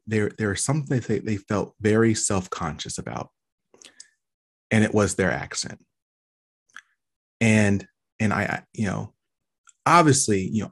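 Male podcast host with a lowish voice; eager, casual, stammers slightly. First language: English. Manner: enthusiastic, repeats words when searching. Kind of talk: casual interview talk.

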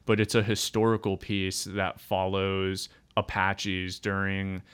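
A man's voice: low voice, monotone, slow, fairly bright tone, clean tone. A man in his thirties hosting a casual interview, talking in an enthusiastic, chatty and upbeat way. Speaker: podcast host.

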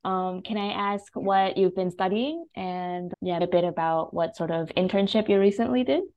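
A woman's voice: mid-range voice, normal speed, lo-fi sound. A woman asking questions as the interviewer in a casual, conversational style.

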